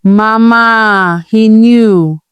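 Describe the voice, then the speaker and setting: A woman acts out a betrayed young wife in a storybook drama, distraught yet defiant. The voice rises high and swings dramatically, close and dry. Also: sing-song, slow, no echo, exaggerated voice. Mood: wailing.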